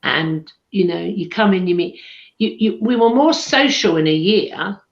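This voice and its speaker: Posh-sounding English woman, low voice. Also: lively intonation, measured pace. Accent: southern English. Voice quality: posh, calls people darling. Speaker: medium and chat show co-host. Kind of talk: casual live chat. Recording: echoey room, dull lo-fi sound.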